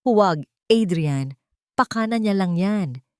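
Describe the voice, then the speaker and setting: A woman's voice: mid-range voice, lively intonation, slow, warm tone, no room sound, studio quality. A woman voicing a scheming, wealthy young fiancée in an audio drama, urgent and commanding as she panics. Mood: desperate.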